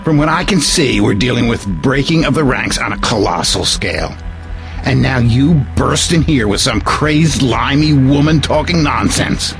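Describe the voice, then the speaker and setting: A man playing a gruff army colonel in an audio drama, irascible, barking and incredulous. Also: mid-range voice, lively intonation, moderate pace, music in the background, close to an everyday voice. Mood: angry, incredulous.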